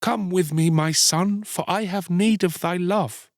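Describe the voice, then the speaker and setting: Man as a high, imploring beggar mother. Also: measured pace, dry recording, studio quality. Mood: pleading. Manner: imploring, archaic storybook speech. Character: pleading, sorrowful poor beggar woman, a loving mother.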